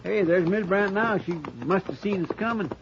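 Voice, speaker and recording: A homespun, guileless frontier helper in a radio western. He has a respectful rural Western drawl in a fairly high voice, on a hissy, dull old recording. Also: moderate pace. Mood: earnest. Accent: rural American West. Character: folksy, guileless, deferential frontier sidekick. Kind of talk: 1950s radio western acting.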